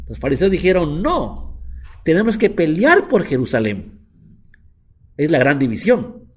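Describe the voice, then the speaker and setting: A middle-aged man leads a class as a rabbi, with a voice that sits a little high for a man. He talks in a loose, chatty way, repeating phrases and dropping in English words as he explains. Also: darkish tone. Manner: chatty, repetitive, mixes English words into Spanish. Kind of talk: informal Bible lesson.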